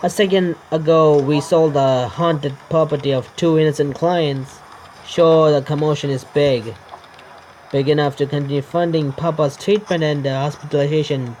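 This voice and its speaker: Higher-pitched man reading a game aloud, stumbling. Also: darkish tone, slight background noise, rough recording. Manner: stumbles over words while reading. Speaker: YouTuber reading a visual novel aloud. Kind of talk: let's play narration, reading game text aloud.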